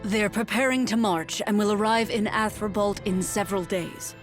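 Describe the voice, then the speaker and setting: A woman playing a composed army general in a video game cutscene, formal, measured and authoritative. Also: mid-range voice, steady tone, over music, natural voice.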